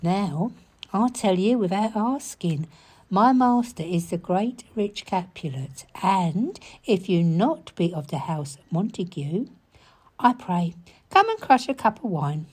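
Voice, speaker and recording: Woman playing an animated, slow-talking Shakespearean servant. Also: medium pitch, husky. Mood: chirpy. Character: cheerful, unlettered household servant woman. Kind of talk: Shakespearean audio drama acting.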